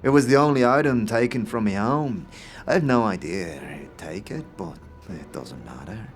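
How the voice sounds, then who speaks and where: Man playing a grandiose, eccentric inventor, swooping pitch. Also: lively intonation, faint music behind. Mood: matter-of-fact. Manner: theatrical, grandiose, dramatic pauses. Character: wild-eyed, eccentric middle-aged male inventor, grandiose and cryptic. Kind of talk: fantasy audio drama acting.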